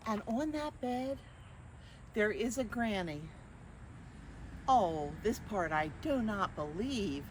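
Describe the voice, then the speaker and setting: An older woman reading picture books aloud to young children, expressively, with drawn-out phrases and questions to her listeners. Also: mid-range voice, animated, slight background noise. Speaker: children's storyteller.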